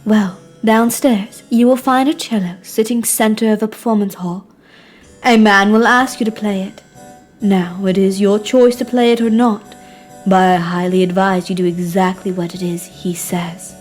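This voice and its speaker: A woman voicing a resigned, formal, cryptic servant in amateur period drama, hushed and measured. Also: medium pitch, fairly even intonation, soft background music, natural voice.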